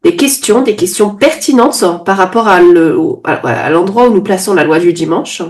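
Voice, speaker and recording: A woman interpreting a sermon phrase by phrase into French. She restates promptly after each pause and now and then corrects her wording mid-line. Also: on the low side, a little mellow. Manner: quick restating, occasional self-corrections.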